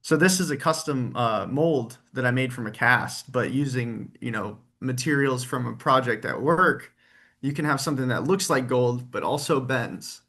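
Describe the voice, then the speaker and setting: A man with an ordinary, mid-pitched voice talks casually in an interview. He is friendly and a little rambling, and he laughs easily as he explains things. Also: moderate pace, pushed and bright. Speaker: guest, tribute performer and engineer.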